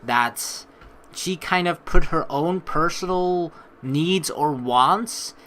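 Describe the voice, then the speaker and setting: A young man hosting a let's play, reading the story aloud and adding expressive, rambling commentary. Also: on the high side, slow pace, a little hiss or hum.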